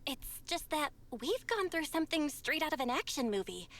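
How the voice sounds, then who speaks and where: An actress playing a bright, teasing, excitable young woman in an anime-style video game, expressive and helpful. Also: high voice, normal speed, full character voice. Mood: earnest. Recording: game music behind.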